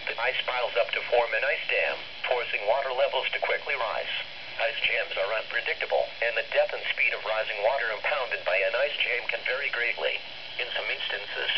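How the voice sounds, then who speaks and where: A man's voice: medium pitch, highs cut off. Synthetic male weather announcer, very noisy radio. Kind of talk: scripted public information narration.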